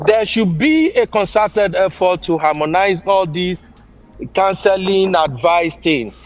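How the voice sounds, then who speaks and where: Brief: Nigerian man, notably high voice, emphatic list-maker in casual talk. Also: lively intonation, measured pace. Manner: emphatic, lists points, laughs easily. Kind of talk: casual podcast chat.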